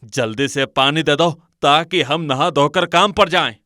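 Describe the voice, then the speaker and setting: A man voicing an irritated, sulky working husband in a story cartoon, complaining loudly. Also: a little high, a little slow, dry recording, studio quality. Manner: loud, complaining. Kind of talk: animated moral-story cartoon dialogue.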